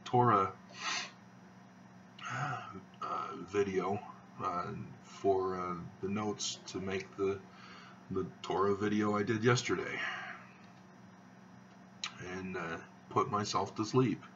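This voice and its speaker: A man hosting his own video channel, talking in a slow, halting monotone with many fillers as he rambles. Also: sits low, recorded from a distance, muffled recording, slight background noise. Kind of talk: rambling monologue to camera.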